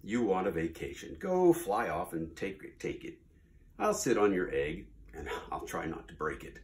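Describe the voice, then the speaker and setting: A man voicing a big, gentle, good-natured male elephant who talks earnestly. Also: deep voice, wildly swooping pitch, normal speed.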